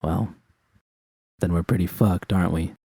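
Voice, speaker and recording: A man's voice: deep voice, fairly even intonation, gravelly, warm tone, breathy edge, no echo, studio quality, full character voice. A man reading aloud, voicing a tough, short-tempered woman mercenary who talks curtly and swears. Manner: curt and swearing, quick.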